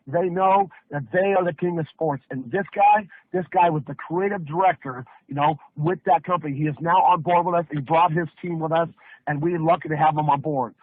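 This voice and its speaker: A man with a North American accent giving an emphatic, hyped sales pitch on a conference call, telling it like a story with rhetorical questions and folksy repetition. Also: steady tone, brisk, no room sound. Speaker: network marketing presenter. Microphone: phone or conference line. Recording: muffled, band-limited conference call audio.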